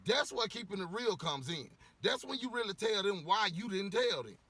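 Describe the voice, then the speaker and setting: A man ranting casually to camera, a little hoarse from losing his voice, rambling with lots of "you know" and swearing bluntly. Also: sits high, expressive, normal speed, sharp tone, no echo. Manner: rambling, repeats words, frequent "you know". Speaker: vlogger giving opinions.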